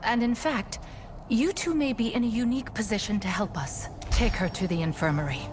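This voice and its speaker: Actress as stern, watchful warrior sister for a fantasy game; earnest, haunted, medium-pitched, over prominent score. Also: female voice, fairly quick, lightly acted. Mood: grave, concerned. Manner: grave, earnest. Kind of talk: dramatic video game voice acting. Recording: music underneath the voice. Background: game music.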